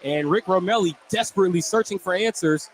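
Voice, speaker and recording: A man with a fairly high voice works as a play-by-play commentator on a live basketball radio broadcast. He follows the action closely in a conversational sportscasting style. Faint gym ambience and ball bounces sit behind him. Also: fairly quick, a little hiss or hum, rough recording.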